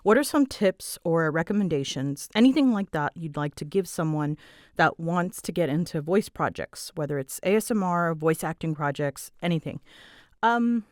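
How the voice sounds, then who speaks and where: A woman's voice: sits low, moderate pace, dry recording. A woman chatting casually in a rambling, self-deprecating way as an online creator answering listener questions, close to the mic. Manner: chatty, self-deprecating, animated when quoting herself.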